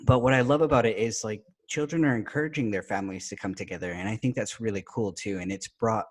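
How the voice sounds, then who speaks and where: A middle-aged man hosts a casual interview in a slightly low voice. He talks with enthusiasm and rambles a little, dropping "like" and "you know" all through his sentences. His tone stays friendly and relaxed. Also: fast talker. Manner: enthusiastic, chatty, many fillers like "like" and "you know". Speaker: podcast host.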